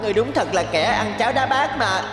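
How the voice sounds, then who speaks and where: Small clever cartoon rabbit played by a woman, high-voiced, earnest and persuasive. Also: expressive, fast talker, music in the background, lightly acted. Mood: indignant. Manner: earnest, coaxing. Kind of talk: animated cartoon dialogue.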